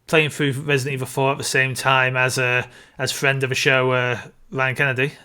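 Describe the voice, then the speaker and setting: A man speaks in a bright, forward voice at a middling pitch. He is a podcast co-host in casual film discussion. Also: level tone, brisk, smooth.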